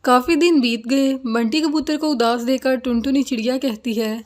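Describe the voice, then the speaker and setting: High-pitched woman narrating a children's story. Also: normal speed.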